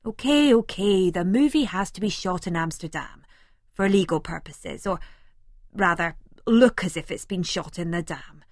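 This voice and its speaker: A woman's voice: on the low side, moderate pace, no room sound, clean studio sound. A woman voicing a pompous, scheming young man who directs amateur films in an audiobook. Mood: argumentative.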